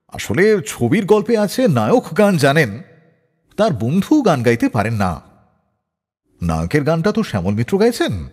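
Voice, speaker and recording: Animated radio storyteller, husky man. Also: fairly high pitch. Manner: theatrical, acts out quoted dialogue. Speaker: radio show host. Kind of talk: dramatic anecdotal storytelling narration. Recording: long reverb over music bed. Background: background music.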